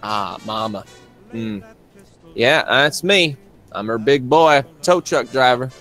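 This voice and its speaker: Tabletop game role, male player: lanky country mechanic, polite folksy drawl, simple and eerily friendly, mid-pitched, faint music behind. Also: lively intonation, takes it slowly, a bit bright. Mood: proud, friendly.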